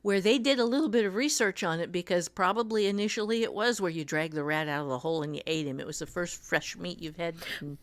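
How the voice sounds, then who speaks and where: A woman co-hosting a podcast in a casual discussion, explaining things in a measured, deliberate way. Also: on the low side. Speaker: podcast co-host. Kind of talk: casual discussion of fiction writing.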